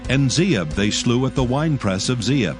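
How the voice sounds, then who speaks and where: A man's voice: medium pitch, lively intonation, normal speed. Male scripture narrator over prominent music.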